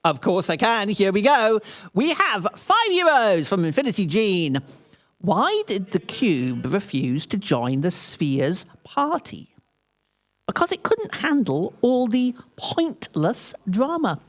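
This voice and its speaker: Playful marathon host, lively voice, reading out donations. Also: medium pitch, slow. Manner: upbeat, playful.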